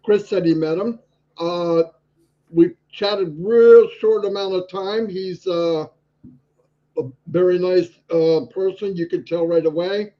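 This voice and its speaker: A man co-hosting a live stream, introducing a guest in a halting way with fillers. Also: high-pitched, steady tone, mellow. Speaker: co-host. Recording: dull lo-fi stream audio.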